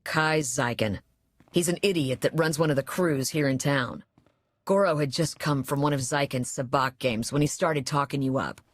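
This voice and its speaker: A woman's voice: rumbling low, moderate pace, no echo. A woman acting a wary, weary, plain-spoken female bar owner in video game dialogue. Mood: frank, wary.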